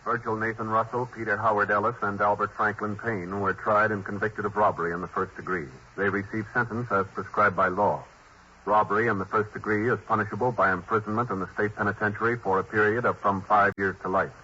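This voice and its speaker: Deep, measured old-time radio announcer. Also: male voice, level tone, sharp tone, a little hiss or hum. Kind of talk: formal broadcast announcement. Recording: muffled old radio broadcast with music.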